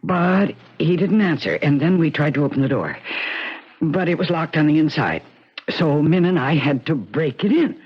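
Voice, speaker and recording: A nervous landlady in an old radio mystery. Her husky voice swoops widely as she hesitates and frets politely. The broadcast sounds dull and aged. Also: low-pitched, lively intonation, normal speed. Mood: disbelieving. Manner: hesitant, stammering, fluttery. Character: anxious, flustered, polite landlady of a rooming house. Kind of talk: 1970s radio mystery acting.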